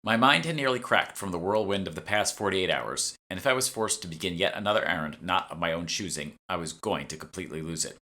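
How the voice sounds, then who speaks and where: A man narrates a first-person novel as its author. His voice sits a little low and has a bright, forceful edge. The delivery is scripted storytelling for an audiobook. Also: fast, hardly any pauses. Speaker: author reading own audiobook.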